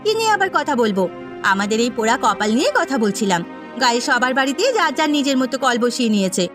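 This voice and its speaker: A woman's voice: on the high side, sing-song, quick pace, music in the background, pitched below the performer's own voice. A woman voicing a poor, earnest and deferential village girl, an elder sister in a cartoon story. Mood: weary explaining.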